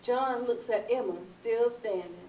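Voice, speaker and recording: A woman's voice: on the high side, measured pace, dark tone. A woman narrator reading stage directions as scripted narration for a theatre reading. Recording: echoey, distant, noisy stage recording.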